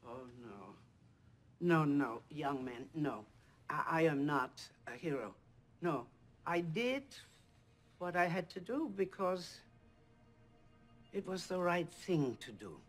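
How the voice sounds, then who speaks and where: A modest elderly rescuer. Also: female voice, sits low, lively intonation, slow pace, pauses often, exaggerated voice. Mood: humble. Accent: Austrian. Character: elderly woman wartime rescuer, modest and gentle.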